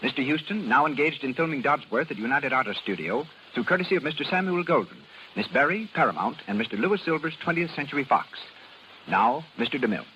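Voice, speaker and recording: A man's voice: mid-range voice, animated, quick pace, a little hiss or hum. An American man announcing on 1930s network radio in a formal, emphatic style. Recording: dull 1930s radio, loud music. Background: loud music.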